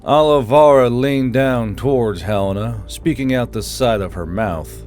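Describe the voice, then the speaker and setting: Slightly low-key male audiobook narrator over music. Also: unhurried, a little mellow. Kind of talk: scripted fantasy audiobook narration.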